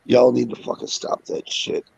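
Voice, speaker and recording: A sick, hoarse man with a raspy voice, ranting heatedly and cursing a lot as co-host. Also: moderate pace, a little mellow, no room sound. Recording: dull dry lo-fi audio.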